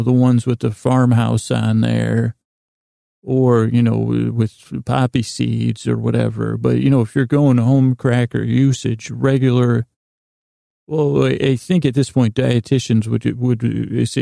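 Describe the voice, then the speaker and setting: Very gravelly, rambling male podcast host. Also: medium pitch, warm tone, no echo, clean studio sound. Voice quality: gravelly, creaky. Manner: meandering, stammering, halting, trails off and circles back. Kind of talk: rambling comic podcast monologue.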